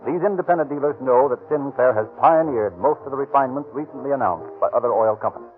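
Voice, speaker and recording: A man announcing and reading a radio commercial as a smooth sales pitch. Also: quick pace. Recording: tinny 1930s radio recording with faint music.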